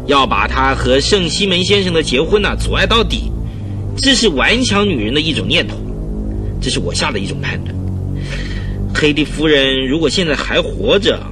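A man's voice: fairly high pitch, music in the background. A man playing an eager, self-satisfied police detective chief in a radio drama, exclaiming and drawing out his phrase endings. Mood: confident, insistent. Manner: animated, exclamatory, drawn-out particles. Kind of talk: Chinese radio drama acting.

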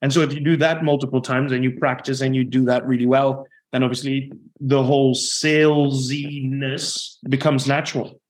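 A man's voice: mid-range voice, level tone, moderate pace, few pauses. Man, Dutch accent, non-native English speaker, podcast guest, reflective, long explanations, mid-range voice. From Netherlands. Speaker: guest, sales leader. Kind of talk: podcast interview.

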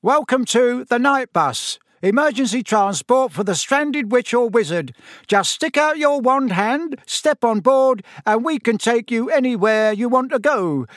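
A man's voice: high-pitched, big pitch swings, unhurried, dry recording, clean studio sound, pitched above the performer's own voice, full character voice. A man voicing a brash, nosy teenage bus conductor with cheeky, slangy speech in a narrated storybook. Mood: loud, officious. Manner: cheeky, slangy, dropped sounds and double negatives.

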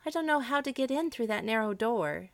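A young fairy-tale girl, acted by a woman, raised high above the reader's usual voice. She sounds earnest and plaintive, in a dry, close storybook recording. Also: expressive, fairly quick, dry recording. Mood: feigned helplessness. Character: young girl, frightened but clever storybook heroine.

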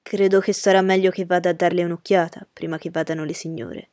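Woman voicing a decisive gentleman colonel. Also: fairly low pitch, little pitch movement, fast talker, no echo, studio quality, close to an everyday voice. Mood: calm. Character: genial, decisive gentleman colonel addressing company.

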